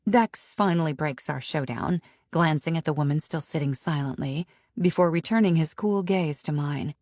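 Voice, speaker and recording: A woman narrating a romance audiobook in first person with an expressive, emotionally charged reading. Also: low voice, moderate pace, dry recording, clean studio sound.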